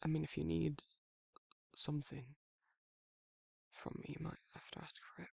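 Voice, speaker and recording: Gravelly, halting British male werewolf, voiced by a man. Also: fairly high pitch, completely flat delivery, dry recording, studio quality, full character voice. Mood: hesitant, caring. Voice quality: husky, gravelly, breathy. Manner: halting, hesitant, self-correcting, softly murmured. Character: gruff protective male werewolf, awkward, tender, shy. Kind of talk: intimate ASMR romantic roleplay.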